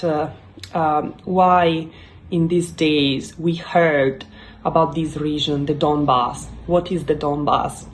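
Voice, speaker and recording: Slow, low-voiced Italian woman host. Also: a little hiss or hum, rough recording. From Italy. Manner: earnest, halting, with many fillers. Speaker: YouTube channel host. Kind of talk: casual explainer talk to camera.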